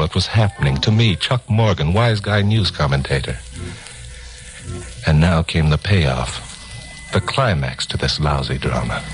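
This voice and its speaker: A deep-voiced hardboiled radio newsman. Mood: tense, dramatic. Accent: American. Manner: terse, dramatic, sardonic. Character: tough, wry wise-guy news commentator turned crime investigator. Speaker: narrator and lead actor of a radio crime serial. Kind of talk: 1950s radio acting, hardboiled first-person narration. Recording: 1950s radio recording with music behind.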